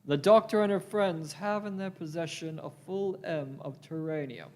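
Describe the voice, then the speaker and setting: A man's voice: on the high side, normal speed, natural voice. A man playing a cold alien villain in a radio play, formal, commanding and threatening. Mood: cold menace. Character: cold, menacing alien villain serving the Daleks. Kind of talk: live radio-play acting.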